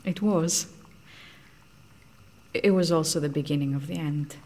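An actress playing an intense, candid woman scientist in an audio drama, telling of lost love in an earnest, deliberate, wistful way. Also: sits low, darkish tone. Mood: regretful, subdued. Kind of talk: audio drama acting, confessional storytelling.

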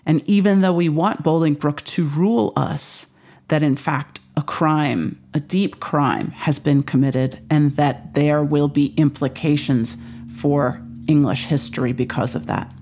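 A speaker with a mid-range voice talks casually about drama. The delivery is conversational and emphatic, building in short halting phrases. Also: medium pitch, steady tone, a little mellow. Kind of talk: casual literary discussion.

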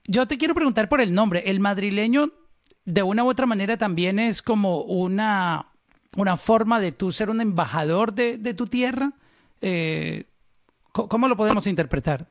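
High-voiced man hosting an interview. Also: moderate pace. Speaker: interviewer, podcast host. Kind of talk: conversational podcast interview.

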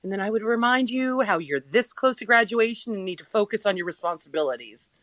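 A woman playing a flustered, apologetic but affectionate guardian of a teenager in an audio drama, casual and halting. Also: mid-range voice, expressive, fairly bright tone, no echo, natural voice. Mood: awkward, reproachful.

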